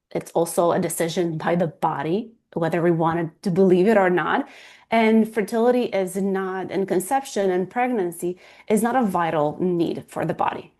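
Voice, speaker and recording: A woman's voice: mid-range voice, darkish tone. A woman in her thirties from Romania with a Romanian accent, a guest fertility coach talking earnestly in an interview, often restarting as she searches for words. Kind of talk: casual interview talk.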